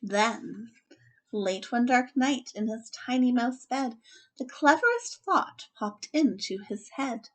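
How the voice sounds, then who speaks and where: Woman, children's storyteller, fairly high voice, very unhurried pace, expressive read-aloud delivery. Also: poor audio. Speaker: children's story time host. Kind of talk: expressive picture-book read-aloud, friendly introduction.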